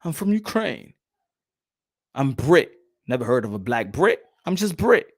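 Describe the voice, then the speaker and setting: A young adult man hosting a casual boxing livestream, animated and repeating his phrases as he reads out the chat. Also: mid-range voice, measured pace. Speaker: boxing channel host.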